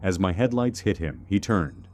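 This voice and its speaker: A man narrating a scripted first-person horror story in a measured, suspenseful manner. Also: low-pitched, animated, loud music behind the voice.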